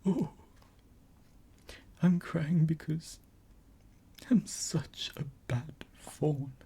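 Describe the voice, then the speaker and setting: A woman voicing a gentle male faun who sobs and whispers in guilt. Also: deep voice, drawn-out words, gravelly, slightly rough, voice pushed up, full character voice. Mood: sobbing. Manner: sobbing, whispery delivery.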